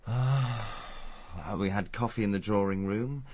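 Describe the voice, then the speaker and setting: Posh english doctor in a radio play, deep voice, clipped and guarded, subdued, very dry sound. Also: male voice, measured pace, a little mellow, husky, no room sound. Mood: flat, guarded. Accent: upper-class English. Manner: clipped, reserved, understated. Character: subdued, guarded upper-class English male doctor under questioning. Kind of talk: radio drama acting.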